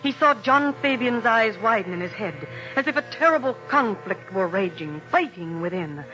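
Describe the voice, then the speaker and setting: A woman narrating a scripted vintage radio drama in a measured, suspenseful, literary manner. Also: mid-range voice, lively intonation, sharp tone, rough recording. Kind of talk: dramatic scripted radio storytelling, 1940s-50s. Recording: old radio broadcast, music behind.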